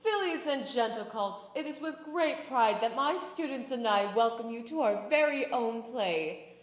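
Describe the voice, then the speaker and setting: An echoing, high female voice acted by a woman plays a proud schoolmistress pony from a storybook. She makes formal, theatrical stage announcements to her audience. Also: expressive, unhurried. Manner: formal, proclaiming.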